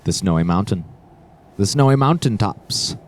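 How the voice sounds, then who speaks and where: A man's voice: fairly low pitch, expressive, normal speed, slight background noise. A man co-hosting a let's play as he plays, chatting casually in short, laid-back replies. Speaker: co-host and player of a gaming let's play. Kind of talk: casual chat while playing a video game.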